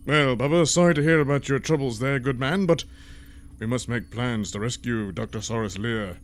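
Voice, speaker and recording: A man's voice: mid-range voice, moderate pace, lightly acted. A man playing a pompous, genteel, self-assured resistance member, speaking formally in a comic sci-fi audio serial. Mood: earnest urging.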